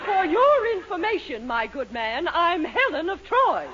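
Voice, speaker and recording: Very high, fluttery, vain landlady. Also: very animated, unhurried, heavily acted. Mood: haughty, puzzled. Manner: fluttery, affected. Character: vain, gushing, easily flattered married landlady. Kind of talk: 1940s radio comedy acting. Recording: muffled noisy radio recording, audience behind.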